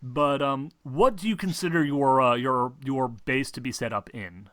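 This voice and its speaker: A man acting as game master on a casual role-playing stream, explaining haltingly and playfully scolding the players. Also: mid-range voice, a bit bright, no room sound, studio quality.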